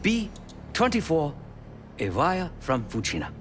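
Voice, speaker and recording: A man's highly animated voice as a precise tech expert in a game scene. He is dryly formal and a little hesitant, with clear noise and keyboard clatter behind him. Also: a little slow. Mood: focused. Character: precise, slightly sheepish male tech expert. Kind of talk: video game cutscene acting.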